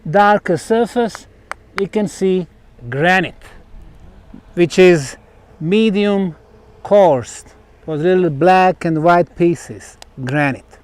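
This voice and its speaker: Slow, emphatic man with lively pitch. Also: dry recording. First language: Bosnian. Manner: deliberate, emphatic, short broken phrases with dramatic pauses. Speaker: presenter and researcher. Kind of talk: on-location explanatory monologue to camera. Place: outdoors on a granite rock platform.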